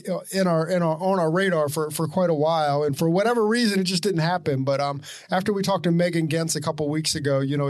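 Male podcast host with a high voice and a dry close recording, chatty and loose, laughs often. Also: no echo. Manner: laughing, rambling with many restarts. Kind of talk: casual chat.

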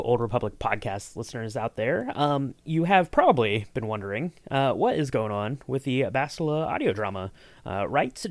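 A man's voice: mid-range voice, no echo. A man hosting a podcast in a casual, enthusiastic interview chat. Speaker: podcast host.

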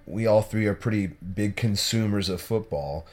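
A man's voice: on the low side, normal speed. A man co-hosting a football podcast, rambling and self-deprecating, with lots of "you know". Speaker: podcast co-host. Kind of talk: casual football chat.

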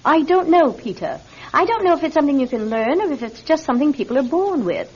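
A woman playing a gentle, weary mother with a tender, reluctant manner in a radio drama. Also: high-pitched, very animated, fast. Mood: patient, wistful. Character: gentle, weary clairvoyant mother of two young children. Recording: muffled, dull old radio drama sound.

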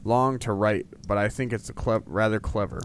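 Man putting on a very low Father Christmas. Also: steady tone, normal speed, slight background noise, lightly acted. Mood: measured, pleased. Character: old Father Christmas writing a letter.

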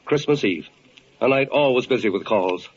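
An actor playing a flustered, weary, compassionate hospital chief in old-time radio drama, with a halting, emotional delivery. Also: male voice, mid-range voice, animated, close to an everyday voice. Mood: flustered, shaken. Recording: dull, hissy old radio recording.

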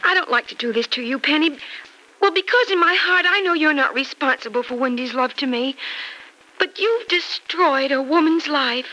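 A woman's voice: sits high, expressive, normal speed, bright, forceful tone, poor audio. An actress playing a stern, wounded young woman in an old radio soap opera, melodramatic and self-pitying. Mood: anguished, regretful. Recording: old radio drama recording.

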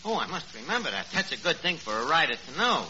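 A man's voice: a little high, big pitch swings, quick pace, pushed and bright, exaggerated voice. An actor playing a cocky, uneducated Brooklyn tavern manager in a 1940s radio comedy, wisecracking, hesitant and mangling his words. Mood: chummy, bumbling. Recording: noisy, muffled old radio recording.

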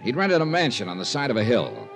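A man's voice: mid-range voice, wildly swooping pitch, quick pace, gravelly, soft background music. An American man playing a wry, cool insurance investigator in 1950s radio detective style, with clipped delivery. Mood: dry narration. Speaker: radio drama lead and narrator.

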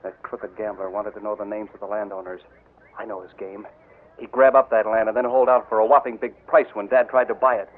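A man's voice: fairly low pitch, level tone, a little fast, natural voice. An actor playing an earnest, flustered young man in a 1940s radio drama. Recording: tinny old radio broadcast.

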